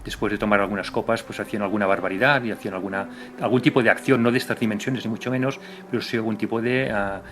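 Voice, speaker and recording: A man recalling police work in an interview, halting and circling back to rephrase. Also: on the low side, fairly even intonation. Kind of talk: interview recollection.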